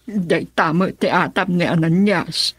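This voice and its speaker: A woman acting an anxious, plaintive mother in a radio drama, with a husky voice. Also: fairly low pitch, measured pace, dry recording.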